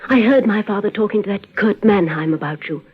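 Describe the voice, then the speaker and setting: An actress in an old radio drama playing a young, dutiful but quietly defiant European daughter, earnest and careful. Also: female voice, mid-range voice, lively intonation, brisk, breathy edge. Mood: ashamed, urgent. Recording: tinny, muddy vintage radio recording.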